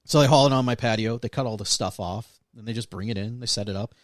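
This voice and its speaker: A man's voice: on the low side, fast, no room sound. An American man co-hosting a podcast, informally recounting stories and quoting other people as he goes. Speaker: podcast co-host. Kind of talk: casual chat, telling anecdotes.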